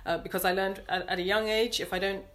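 A woman with an English accent, speaking earnestly and thoughtfully as an interview guest, pausing to search for words. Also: medium pitch, fairly even intonation. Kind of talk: reflective interview conversation.

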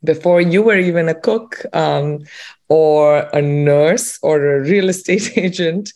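A woman hosting a podcast interview, friendly and warm in her questions, with many ums. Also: low voice, slow pace. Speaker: podcast host.

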